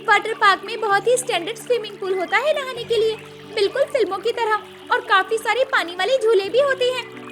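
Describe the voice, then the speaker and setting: Woman playing a very high-voiced thrifty young housewife. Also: brisk, over music. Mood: earnest. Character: thrifty, practical city-bred daughters-in-law. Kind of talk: animated moral-story cartoon dialogue.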